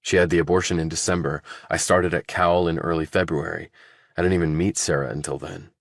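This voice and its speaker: A man's voice: sits low, fairly even intonation, fairly quick, gravelly, no echo, clean studio sound. A man voicing a defensive, desperate young murder suspect in an audiobook, earnest, blunt and insistent. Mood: guarded, frustrated. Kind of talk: audiobook character dialogue.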